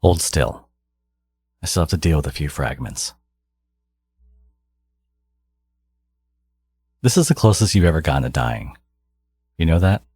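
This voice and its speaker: A man playing a theatrical, possessive supervillain in a scripted audio roleplay, scornful and grandiose toward his archnemesis, with a husky voice. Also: extremely low pitch, expressive, a little fast, a little mellow, dry recording, exaggerated voice. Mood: brisk, muttering. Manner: grandiose, exasperated, dramatic emphatic delivery.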